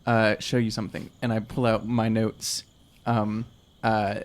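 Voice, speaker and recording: A man's voice: fairly low pitch, flat delivery. Hesitant man playing a tabletop game. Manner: halting, hesitant. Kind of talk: casual improvised role-play.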